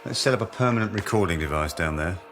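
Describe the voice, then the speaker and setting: A man's voice: deep voice, lively intonation, quick pace, music in the background. A man acting in a radio drama as the driven, impatient, bossy head of a research team. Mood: irritated. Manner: bossy, brusque. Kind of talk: naturalistic radio drama dialogue.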